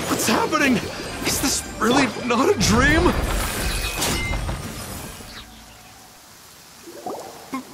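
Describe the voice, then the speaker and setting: An actor playing a pompous, cowardly fraud with a theatrical way of talking, in a video game. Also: male voice, a little high, gravelly. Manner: pompous, theatrical, calls himself "one". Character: pompous, cowardly male fraud posing as immortal adept.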